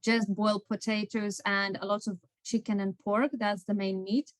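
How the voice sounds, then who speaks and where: A woman's voice: mid-range voice, flat delivery, moderate pace, no echo, clean studio sound. A woman in her twenties from Lithuania with a Lithuanian accent, answering interview questions in a thoughtful, slightly hesitant way. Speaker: guest.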